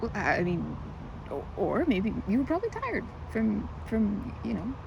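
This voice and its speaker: A woman playing a timid, excitable park regular in an ASMR roleplay, stammering and chattering apologetically in a one-sided conversation. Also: mid-range voice, moderate pace, gravelly, muffled recording, background noise. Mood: shy, chatty. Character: timid, rambling young woman, excitable park regular who loves ducks.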